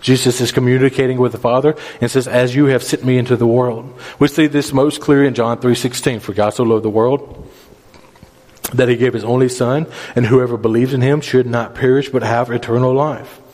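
A man's voice: medium pitch, little pitch movement, fairly quick, darkish tone. A man preaching a sermon, explaining scripture verse by verse in an earnest, instructive manner with repeated phrases for emphasis. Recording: reverberant hall.

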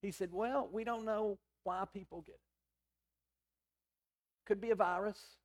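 A middle-aged man with a hoarse, raspy voice, preaching a sermon in a conversational, storytelling way with quoted dialogue. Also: sits high, steady tone, unhurried. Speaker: pastor.

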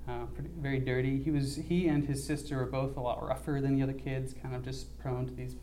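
An earnest young man recounts a mission trip in a halting, conversational way, filling pauses with ums. His voice is dark and mellow. A faint hiss sits under the recording. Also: mid-range voice, little pitch movement, poor audio. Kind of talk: church mission report, casual.

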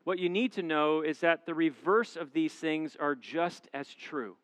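A man's voice: on the high side, a little slow, dry recording. A male pastor preaching a sermon to a congregation, with an emphatic, repetitive preaching cadence. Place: church auditorium before a live congregation.

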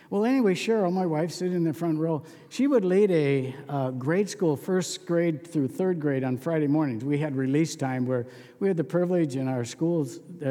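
A man's voice: on the high side, warm tone, big-room sound. A man preaching a sermon as pastor, telling stories in a folksy, conversational way.

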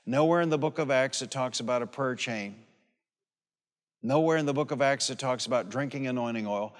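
A man in his late sixties delivers a sermon as a pastor. His voice sits at a medium pitch and his manner is folksy and emphatic, with repeated phrases. The long reverb of a large hall surrounds his words. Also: a little fast. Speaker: pastor preaching. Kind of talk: sermon with personal anecdotes and calls for amen. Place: large hall or church, preaching to a congregation.

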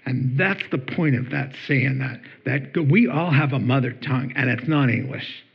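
A man preaching a sermon in an animated, jokey, conversational way. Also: mid-range voice, normal speed, gravelly, slightly rough, lo-fi sound. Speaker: pastor.

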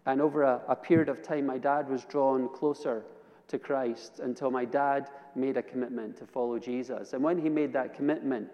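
A man's voice: mid-range voice, steady tone, normal speed, muffled recording. A man with a Scottish accent preaches in a big, echoing hall. His voice sits in a middle register. He shares personal testimony like a storyteller, conversationally and with dry humor, addressing a congregation. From Edinburgh, Scotland. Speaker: guest preacher. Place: large indoor church or hall. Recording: reverberant hall, long echo.

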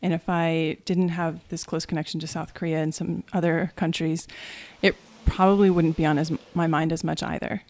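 A woman's voice: low voice, flat delivery, moderate pace, darkish tone, no room sound. A young adult woman speaking casually and a little tentatively as a podcast guest. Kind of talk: casual, modest conversational talk.

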